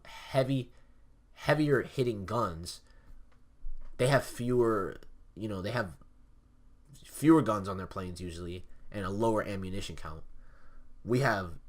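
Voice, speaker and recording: A man's voice: sits low, a bit bright. A young man with a stuffy nose, casually explaining flight tactics over replayed gameplay footage, with many fillers. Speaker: gameplay commentator.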